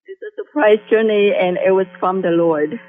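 A middle-aged woman from Vietnam with a Vietnamese accent, a guest sharing her faith testimony in halting speech that restarts phrases. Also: medium pitch. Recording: tinny phone line with faint music.

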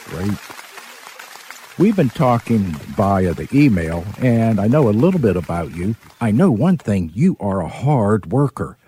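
A man's voice: lowish voice, sing-song, a little slow, warm tone, slight background noise. A man hosting a casual interview, chatty and chuckling as he introduces and questions his guest. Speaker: host.

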